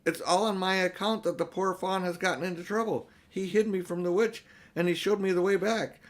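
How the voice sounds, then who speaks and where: A man's voice: mid-range voice, animated, normal speed. A man voicing an earnest, kindhearted, insistent little girl in a storybook. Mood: pleading, urgent. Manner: insistent, pleading.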